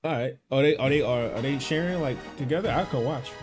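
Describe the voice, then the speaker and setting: A man's voice: medium pitch, fairly quick. A man streaming a video game and chatting casually as he plays. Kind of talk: casual gaming chat. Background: music and football game audio.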